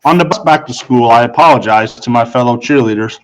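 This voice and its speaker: A man's voice: medium pitch, a little fast, a little hiss or hum, poor audio. A man narrating a first-person audiobook story in a formal, precisely worded manner. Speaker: audiobook reader.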